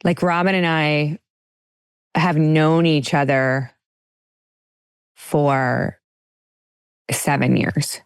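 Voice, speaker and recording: A woman in her forties hosts a podcast in loose, animated chat and laughs easily. Her voice sits low for a woman. The sound is dry and close, with no room around it. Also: drawn-out words, long pauses, clean tone, no echo. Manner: chatty, laughs often, animated. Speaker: podcast host. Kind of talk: casual chat.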